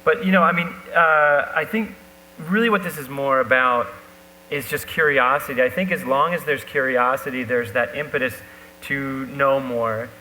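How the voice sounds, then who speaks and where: Guy on a panel, halting n thoughtful, bright voice, hall reverb and a faint hum. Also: mid-range voice. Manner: thoughtful, halting, circles toward his point. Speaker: panel guest, novelist. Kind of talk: live on-stage discussion. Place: live talk event hall.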